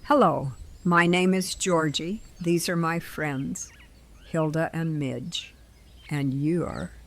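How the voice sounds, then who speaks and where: A woman playing a composed, benevolent older stranger in an audio drama, speaking in a measured, polite, articulate way. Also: low voice. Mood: calm, wry.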